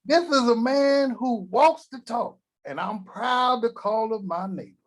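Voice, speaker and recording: An actor at a table read playing a boastful, crude, newly rich grandfather, talking in a slow drawl. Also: very high-pitched.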